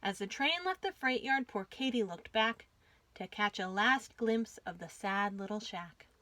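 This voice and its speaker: A woman reading a rhyming picture book aloud for young children in an expressive storytime style. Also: mid-range voice, measured pace, slight background noise. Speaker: children's storytime reader at a library.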